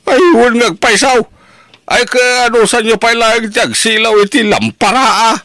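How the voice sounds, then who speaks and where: Casting: male actor for scandalised elder village uncle, Ilocano accent, very lively melody, close dry sound, radio drama. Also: very high-pitched, normal speed, no echo, heavily acted. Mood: friendly, chatty. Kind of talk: amateur Ilocano radio-style drama acting.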